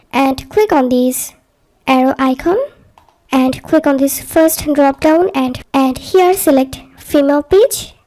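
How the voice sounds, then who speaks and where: A woman with a high, mellow voice narrates a how-to guide at a slow pace. She presents it as a scripted tutorial, laying out instructions one step at a time.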